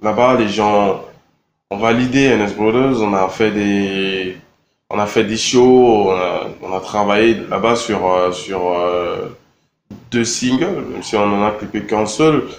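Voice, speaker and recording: A young man from Congo answering interview questions in a relaxed, halting way, with many fillers and repeated words. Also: fairly low pitch, slight background noise. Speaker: rapper interviewed. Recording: echoey room with faint ambience.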